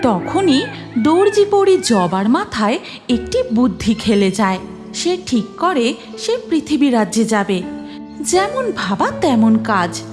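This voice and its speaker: A woman narrator reading a scripted cartoon fairy tale in an expressive storytelling manner. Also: on the high side.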